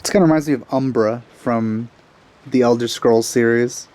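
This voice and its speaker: A man's voice: medium pitch, moderate pace, darkish tone, slight background noise. A man giving casual let's play commentary in a dry, laid-back way.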